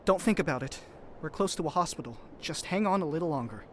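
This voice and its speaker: An actor playing a loyal young crewman in an audio drama, speaking in a measured, earnest, reassuring way. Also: on the high side, expressive, a little hiss or hum, natural voice.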